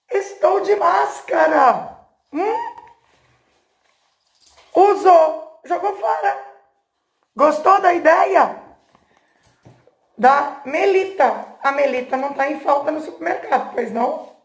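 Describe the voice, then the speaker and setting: A woman's voice: sits high, expressive, takes it slowly, long pauses. Chatty woman showing a craft. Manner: chatty, talks while working with her hands, asks the viewer questions. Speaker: craft channel host. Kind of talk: casual hands-on tutorial. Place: home room, indoors.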